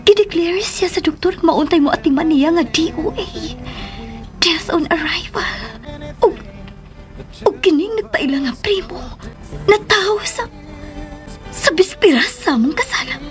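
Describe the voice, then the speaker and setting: A woman with a Filipino accent playing a middle-aged, troubled and protective mother in a radio drama, with breathy, tearful delivery. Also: very high-pitched, expressive, unhurried, pauses often. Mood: anguished. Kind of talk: Philippine radio drama acting. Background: dramatic background music.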